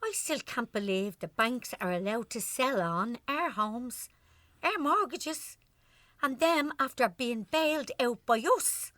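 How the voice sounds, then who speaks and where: Anxious older Irish wife, bright-voiced. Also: female voice, a little high, animated, slow pace, dry recording. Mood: worried, wistful. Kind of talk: radio play acting.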